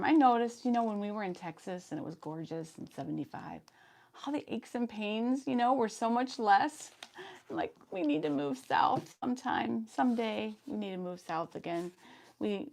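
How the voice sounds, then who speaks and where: A woman in her fifties hosting a sewing live stream, chatting casually and reading viewer comments, her voice hoarse today. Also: lowish voice, a little mellow. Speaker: sewing channel host.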